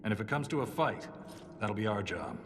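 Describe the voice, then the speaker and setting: An actor playing a gruff, world-weary male dwarven soldier with dry, terse, sardonic delivery in a fantasy game. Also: fairly low pitch, big pitch swings, a little fast. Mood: matter-of-fact. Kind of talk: dramatic game cutscene dialogue. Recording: long reverberant hall, music underneath.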